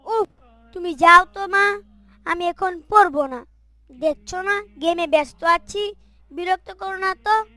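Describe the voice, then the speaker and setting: Cartoon brat played by a woman, young boy, high-pitched, dismissive and impatient, dry recording. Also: a little slow, long pauses, pushed and bright, dry recording, faint music behind, exaggerated voice. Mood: annoyed. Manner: irritated, curt, talking back. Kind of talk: Islamic moral cartoon dialogue.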